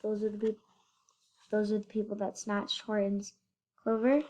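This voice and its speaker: A woman's voice: mid-range voice, monotone, moderate pace, warm tone, rough recording. A female reader narrating a children's storybook aloud.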